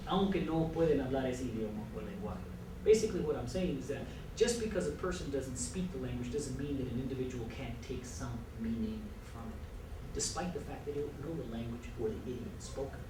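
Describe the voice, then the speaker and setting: A man whose first language is Spanish, from El Paso, giving an earnest classroom lecture as a college writing teacher, pausing and restarting often. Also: a little high. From El Paso, Texas. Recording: echoey classroom from far off, noisy.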